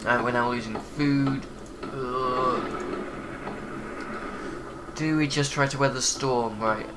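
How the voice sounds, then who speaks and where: A man's voice: mid-range voice, fairly even intonation, slow, heavy background noise. Stuffy-nosed man playing a game. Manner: rambling, hesitant with many fillers. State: congested with a cold. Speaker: gaming channel host. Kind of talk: casual let's play commentary.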